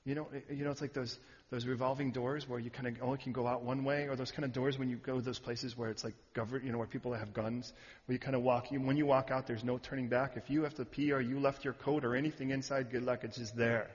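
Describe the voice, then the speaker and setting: A man preaching a sermon, animated and joking with the audience, sometimes shouting out a word. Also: mid-range voice, quick pace, few pauses. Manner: animated, shouting at peaks, jokey asides to the audience. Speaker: pastor. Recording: muffled hall recording.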